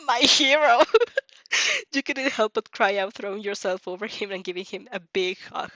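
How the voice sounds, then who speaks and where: A woman narrating fan fiction as an amateur, excitable and giggly, stumbling over words and reacting as she goes. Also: medium pitch, measured pace, no room sound.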